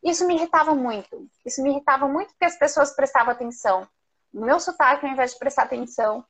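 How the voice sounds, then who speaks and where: Higher-voiced Brazilian woman telling her story. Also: quick pace, a bit bright, soft background music, low-quality recording. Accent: Brazilian Portuguese. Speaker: guest. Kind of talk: personal storytelling in a live online interview. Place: remote video call.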